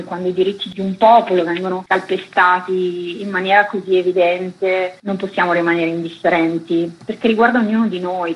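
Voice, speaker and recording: A woman's voice: lowish voice, lo-fi sound. A woman giving explanatory interview answers as a journalist, speaking in an earnest, articulate way with emphasis on key words.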